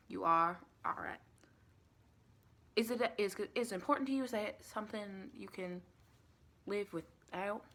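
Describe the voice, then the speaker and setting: A woman playing a chatty, scatterbrained female vampire recruiter in a softly spoken ASMR roleplay, often slipping into breathy whispers. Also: mid-range voice. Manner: rambling, flighty, sprinkles in pet names like sugar and sweetie. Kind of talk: ASMR roleplay, softly spoken interview with pauses for unheard replies.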